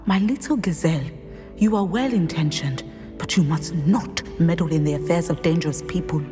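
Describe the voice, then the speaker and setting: Firm, low-voiced mother played by a woman. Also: big-room sound, over music, close to an everyday voice. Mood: worried, reproving. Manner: firm, measured, admonishing. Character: protective, disapproving middle-aged mother witch. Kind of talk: game cutscene dialogue.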